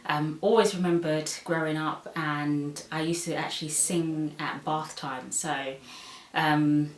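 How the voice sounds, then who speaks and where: A woman's voice: low-pitched, fairly even intonation, slow pace. Chatty woman in an echoey room. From Zambia. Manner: chatty, many "um"s and "so"s. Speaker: vlogger. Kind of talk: casual vlog, reading questions. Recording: echoey room, far from mic.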